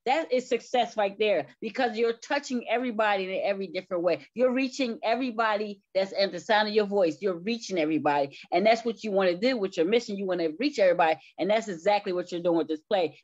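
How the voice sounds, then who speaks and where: A woman's voice: mid-range voice, darkish tone, highs cut off. A woman speaking as a cast guest in a casual talk show interview, emphatic and repeating her phrases as she builds a point. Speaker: stage play cast member interviewed as a guest.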